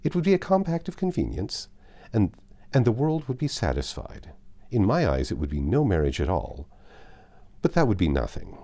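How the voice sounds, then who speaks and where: A man's voice: on the low side, wildly swooping pitch, normal speed, dark tone, voice pushed up, exaggerated voice. A man voicing a passionate, dramatic girl of seventeen from a period novel, earnest and emphatic. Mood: indignant, emphatic.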